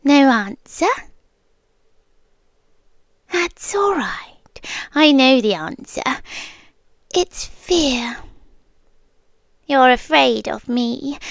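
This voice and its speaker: A woman's voice: high-pitched, creaky, no echo, clean studio sound, full character voice. A British woman playing a possessive yandere girlfriend in an intimate ASMR roleplay, speaking with coolly patient, condescending menace and dropping toward whispers. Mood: menacing, patient. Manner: slow, deliberate, dropping into whispers, condescending sweetness.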